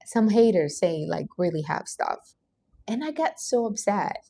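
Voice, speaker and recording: A woman's voice: mid-range voice, big pitch swings, a little slow, creaky, warm tone. Earnest Portuguese-accented woman streaming live. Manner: earnest, emphatic, non-native grammar. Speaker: livestream host. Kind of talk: motivational livestream talk.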